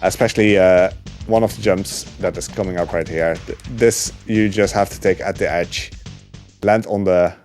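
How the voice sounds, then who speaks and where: A man casually commentating his own speedrun live, relaxed and rambling, with many ums and uhs. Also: low-pitched, moderate pace, soft background music.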